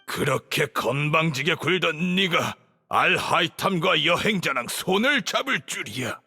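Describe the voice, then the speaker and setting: An actor playing an arrogant older grand sage villain in a game, with a pompous, commanding, indignant delivery. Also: male voice, fairly high pitch, expressive, measured pace, gravelly, pushed and bright, slightly rough, soft background music, heavily acted. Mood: indignant, cornered. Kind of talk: dramatic game voice acting.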